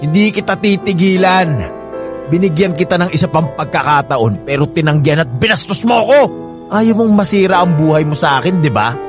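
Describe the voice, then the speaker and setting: A male actor plays a possessive, menacing former lover in a radio drama. His somewhat high-set voice is insistent and mocking, pressing every point. Light music plays behind him. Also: lively intonation, a little fast, lightly acted. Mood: threatening. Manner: insistent, taunting, with mocking drawl. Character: menacing, obsessive married ex-lover of a younger woman.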